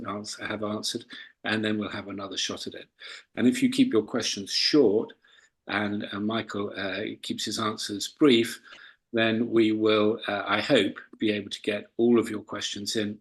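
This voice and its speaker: Husky, low-voiced man hosting an interview. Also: a bit bright, low-quality recording. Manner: hesitant, rephrases questions mid-sentence. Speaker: interviewer and host of an online forum. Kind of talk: live online fireside-chat interview. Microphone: video call.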